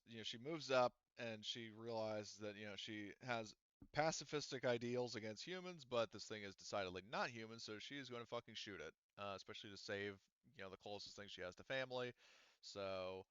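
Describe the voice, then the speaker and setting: A man's voice: on the low side, a bit bright, clean tone, no room sound, lo-fi sound. A man running a tabletop roleplaying game as game master, talking casually, rambling and swearing as he checks the rules.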